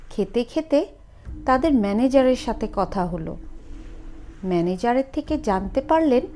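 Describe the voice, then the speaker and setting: A woman narrates a scripted horror story dramatically, with suspenseful, deliberate phrasing and pauses. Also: fairly high pitch, a little mellow, a little hiss or hum.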